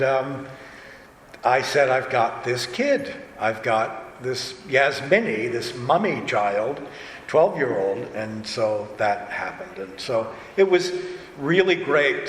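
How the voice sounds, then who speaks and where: Man, animated storyteller, casual panel talk, medium pitch, roomy hall sound with background noise. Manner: animated storyteller, acts out quoted remarks. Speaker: audio drama director and voice actor on a panel. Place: large indoor convention panel room.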